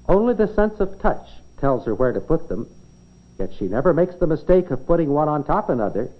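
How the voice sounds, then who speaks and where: Old 50s school film narrator, american guy, really lively pitch, telling it simply for kids, kinda dull lo-fi soundtrack. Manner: storytelling, explaining simply as if to children. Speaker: narrator of an educational nature film. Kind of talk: 1950s educational film narration, scripted. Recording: dull, band-limited 1950s film soundtrack.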